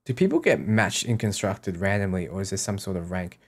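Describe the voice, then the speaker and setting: A man's voice: low-pitched, sing-song, brisk. A man streaming a game, casually reading out questions and answering them offhand in short, repeated sentences. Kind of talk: casual livestream Q&A.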